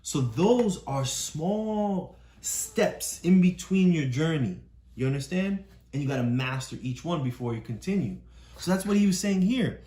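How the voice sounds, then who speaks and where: A woman with a deep voice and animated, up-and-down intonation explains a story to a child, encouraging and patient. The sound has noticeable room echo and a faint hiss behind it. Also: measured pace. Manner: encouraging, keeps checking understanding. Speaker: parent coaching a child through a read-aloud.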